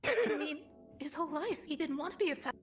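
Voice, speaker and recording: An innocent cartoon parody character, played by a woman, pleads earnestly in a high, lively voice. Faint music sits behind it. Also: a little fast. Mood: pleading, earnest.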